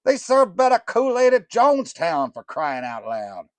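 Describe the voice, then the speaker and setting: A man voicing an aging male rock star inmate who cracks loud, high jokes. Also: wildly swooping pitch, a little slow, dry recording, exaggerated voice. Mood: joking.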